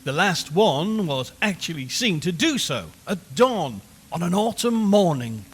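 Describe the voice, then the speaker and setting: Man playing high-pitched, theatrical old-fashioned radio reporter. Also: a bit bright, heavily acted. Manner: theatrical, formal, deliberate storytelling. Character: earnest, showy male radio reporter hosting a ghost hunt. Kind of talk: old-fashioned scripted radio drama narration. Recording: long reverberant hall, faint noise.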